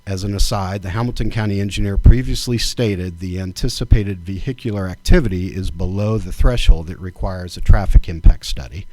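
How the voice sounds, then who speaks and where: A man reading a formal zoning proposal at a township meeting, measured and deliberate, item by item. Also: low-pitched, a little mellow, no room sound. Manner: measured, deliberate, reads items one by one. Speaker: township trustee.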